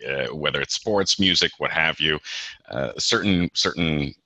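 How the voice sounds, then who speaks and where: A man in his forties speaks as an interview guest in a very low, forceful voice. He talks in a halting way, full of fillers and restarts. The sound is dry and close. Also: no room sound. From Peru. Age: 40s. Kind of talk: casual interview chat.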